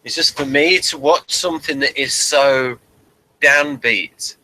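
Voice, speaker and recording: A man's voice: mid-range voice, slow, bright, forceful tone, rough recording. A man hosting a casual, unscripted review chat, steering it along in a hesitant, trailing way. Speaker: host of review show.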